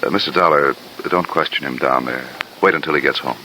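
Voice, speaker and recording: Anxious male assistant, very low pitch, tense bright tone, halting stammer, loyal, old-time radio drama. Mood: anxious, protective. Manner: hesitant, stammering. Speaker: supporting radio actor. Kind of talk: 1950s radio drama acting. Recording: noisy vintage radio recording.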